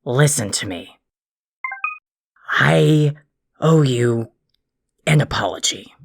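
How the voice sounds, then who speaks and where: Gravelly, irritable troll played by an actor. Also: mid-range voice, expressive, takes it slowly, a little hiss or hum. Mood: grudging, curt. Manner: abrasive, condescending, wordy insults. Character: irritable insulting troll boy apologizing grudgingly. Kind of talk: audio drama acting.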